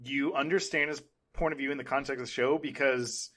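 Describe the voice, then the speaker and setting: A man's voice: mid-range voice, fairly even intonation, a little fast, pushed and bright. Enthusiastic, rambling male podcast co-host. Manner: rambling, enthusiastic fan talk. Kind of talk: casual chat, pop culture discussion.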